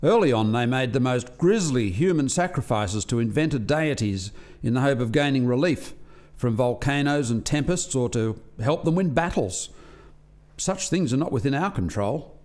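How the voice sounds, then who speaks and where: A man's voice: medium pitch, expressive, moderate pace, a little mellow, lightly acted. A man playing a sober alien researcher in a radio play, reporting in a formal, expository way. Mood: sober, explaining.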